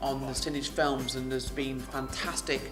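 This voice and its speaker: A woman's voice: rumbling low, flat delivery, normal speed, music in the background. A woman chatting casually in an interview as a project coordinator.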